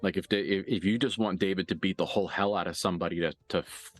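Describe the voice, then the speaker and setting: A man running a tabletop role-playing game as game master, improvising narration and dialogue in a casual, halting, sweary way. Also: low voice, fairly even intonation, soft background music.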